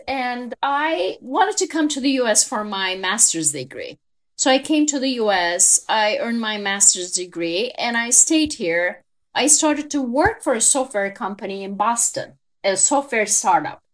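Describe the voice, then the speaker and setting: A woman's voice: fairly high pitch, animated, unhurried. A woman in her fifties from Turkey with a Turkish accent, a guest in an interview telling her career story reflectively, with frequent small laughs.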